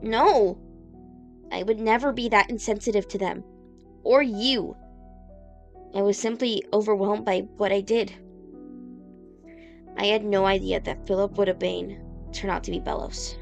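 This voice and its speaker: A woman's voice: medium pitch, steady tone, unhurried, vocal fry, long pauses, faint music behind. A woman playing an earnest, guilt-ridden teenage girl in a cartoon comic dub, speaking in a sincere, dramatic way. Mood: defensive, upset.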